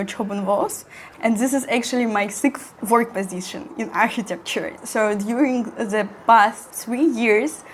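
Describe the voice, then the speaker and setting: Young woman, bright voice. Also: a little high, a little hiss or hum. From Russian-speaking. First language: Russian. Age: 20s. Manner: friendly, with nervous little laughs and non-native phrasing. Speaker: architecture student and vlogger. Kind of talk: casual educational talk to camera.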